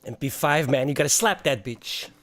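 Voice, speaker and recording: Man from belgium, fairly high voice, jokey, teasing himself. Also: normal speed, a bit bright. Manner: joking, self-mocking. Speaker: gamer in voice chat. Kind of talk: casual gaming chat.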